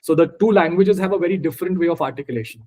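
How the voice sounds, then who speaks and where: Higher-pitched Indian man teaching. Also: racing, dark tone. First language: Marathi. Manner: discursive, explains word by word. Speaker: teacher of a scripture study group. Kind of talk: informal explanatory lesson with questions.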